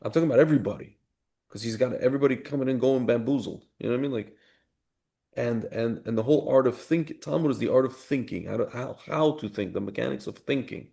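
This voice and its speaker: A man's voice: medium pitch, fast talker, gravelly, dark tone. A man co-hosting a casual discussion podcast, colloquial and insistent, repeating phrases for emphasis as he gives his opinions. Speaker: podcast co-host.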